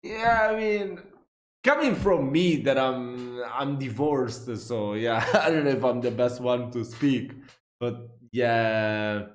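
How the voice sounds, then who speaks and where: A man with an Italian accent hosting a reaction video, chatty and quick to exclaim and interject. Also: mid-range voice, echoey room. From Italy. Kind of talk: casual reaction commentary.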